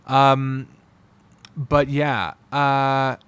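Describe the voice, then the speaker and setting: A man giving an unscripted, casual review, blunt, profane and opinionated, often halting, digressing and trailing off mid-sentence. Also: mid-range voice, flat delivery, a bit bright, smooth, dry recording. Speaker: visual novel reviewer.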